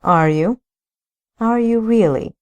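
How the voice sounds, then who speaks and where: A woman's voice: fairly high pitch, slow, a little mellow, voice pushed up. A woman voicing a brooding, self-blaming older brother and husband who talks in a ponderous, gloomy way.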